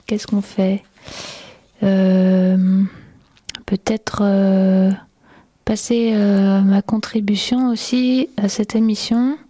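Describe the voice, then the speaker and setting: A woman hosting a radio show, chatting in a relaxed, hesitant way with many fillers. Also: mid-range voice, dry recording. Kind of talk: casual radio chat.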